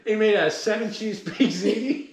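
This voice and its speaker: A man's voice: high voice, measured pace, recorded from a distance. A young man hosting a podcast in casual, joking, self-mocking chat. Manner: jokey, self-mocking, laughs through his sentences. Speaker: podcast host. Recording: roomy echo.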